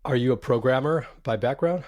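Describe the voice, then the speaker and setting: A man hosting a startup podcast, asking his guest questions in a measured, friendly way. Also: mid-range voice, darkish tone. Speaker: podcast host. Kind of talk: podcast interview.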